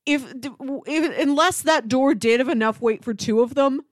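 A woman's voice: high voice, moderate pace, dry recording, studio quality. A woman talking casually as a podcast co-host about film, explaining earnestly and peppering her talk with "like".

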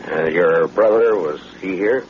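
Deep-voiced, stoic Old West marshal. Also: male voice, unhurried. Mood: businesslike. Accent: American. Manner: terse, plain-spoken, unflinching. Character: stoic, hard American Old West lawman, grown man. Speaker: US marshal in a western. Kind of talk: old-time radio western acting. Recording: muffled, noisy vintage radio recording.

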